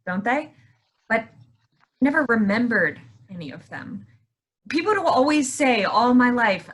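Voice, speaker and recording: A puzzled, plain-spoken woman, played by an actress confiding in a stage monologue. Her voice is husky and somewhat high, halting as she doubts herself. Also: a bit bright, full character voice. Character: puzzled, self-doubting pregnant woman musing, named Lynn.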